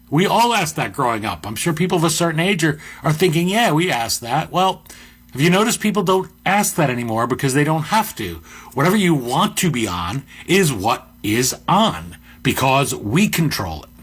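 A middle-aged man with a deep voice, hosting a podcast and musing in a conversational monologue with asides and restarts. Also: sing-song, moderate pace, breathy edge. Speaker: podcast host. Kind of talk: reflective monologue.

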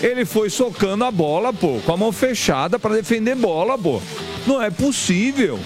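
A man's voice: high-pitched, expressive, moderate pace. A Brazilian man hosting a football radio show, giving emphatic, colloquial opinions in a chatty commentary style. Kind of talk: opinionated sports commentary and chat. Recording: music bed under broadcast voice. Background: music.

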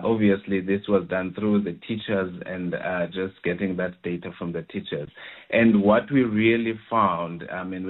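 Hesitant academic guest, deepish voice, heard over a thin phone line. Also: male voice. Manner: hesitant, many fillers and restarts. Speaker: education researcher. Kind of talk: interview answers.

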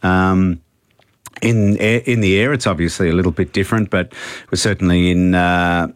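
A deep-voiced man explains things calmly on the radio, with plenty of filler words. The sound is close and dry. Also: normal speed, no room sound. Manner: calm, explanatory, many fillers. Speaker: airline pilot guest. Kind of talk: radio interview.

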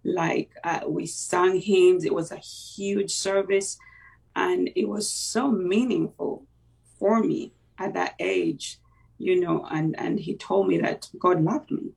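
Gravelly voice, halting. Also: mid-range voice, darkish tone, distant mic, rough recording. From Uganda. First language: Luganda. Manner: reflective, halting, with many fillers and restarts. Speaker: interview guest. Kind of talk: personal faith testimony in conversation.

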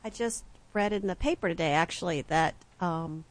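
A woman's voice: on the low side, moderate pace. Chatty woman. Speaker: panelist. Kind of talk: conversational panel talk.

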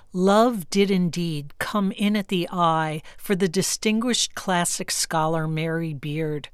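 Book critic, woman. Low, smooth, resonant. Scripted review read in a dry studio. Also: no echo. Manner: smooth, read-aloud delivery.

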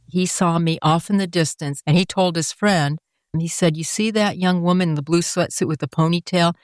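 A woman's voice: fairly low pitch, fairly quick, no echo, studio quality. Older woman recalling her life in interview. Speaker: interviewee, author. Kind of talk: reflective interview storytelling.

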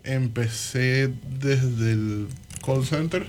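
A man from Venezuela with a Venezuelan accent, a guest on a radio show, talking in a relaxed way with hesitant restarts. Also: mid-range voice, very slow. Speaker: credit union guest. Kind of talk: casual interview talk.

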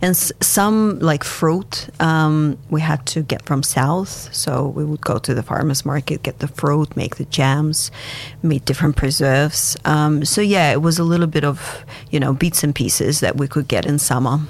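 A woman's voice: low voice, measured pace, vocal fry. A woman from Kazakhstan and Russia, a guest answering interview questions in a reflective way, with frequent fillers and restarts.

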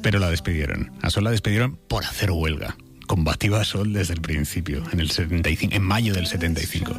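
Casting: male news presenter, Spain accent, deep register, narrating a tribute profile over soft music. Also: a little fast. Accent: Spanish from Spain. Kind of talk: narrated audio obituary profile.

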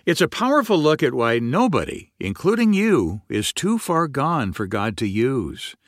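A man announcing for a radio program in a smooth, promotional scripted read. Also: medium pitch, big pitch swings, unhurried, no room sound, clean studio sound.

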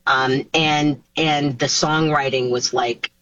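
A woman, a singer taking part in an interview over a video call, answering casually with animated pitch swoops and many restarts. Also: slow, no room sound. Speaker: singer, guest. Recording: dull, dry video call audio.

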